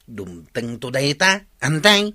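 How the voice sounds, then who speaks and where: A man's voice: mid-range voice, very animated, takes it slowly, bright, forceful tone, heavily acted. Piercing, stubborn hard-drinking man. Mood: stubborn, dismissive. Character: stubborn hard-drinking bachelor tricycle driver. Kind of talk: Ilocano radio drama acting.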